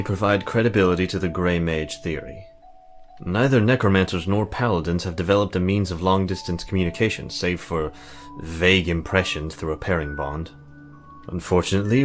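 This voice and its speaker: A man performs an earnest, learned mage for a fantasy game. His voice sits low, and he speaks in exact, formal, measured phrases. Soft music runs underneath. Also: close to an everyday voice. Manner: formal, precise, measured wording. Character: formal, scholarly male mage, earnest and obsessive. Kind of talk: visual novel character acting.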